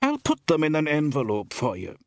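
A man voicing a cool, inquisitive village shopwoman with a slow, deliberate delivery, in an audiobook story. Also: fairly high pitch, wildly swooping pitch, no echo, clean studio sound, pitched above the performer's own voice. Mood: cool, guarded.

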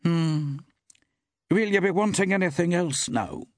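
A man voicing a cool, inquisitive village shopwoman with a slow, deliberate delivery, in an audiobook story. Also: fairly high pitch, very animated, no echo, clean studio sound, pitched above the performer's own voice, heavily acted. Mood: slightly warmer, curious.

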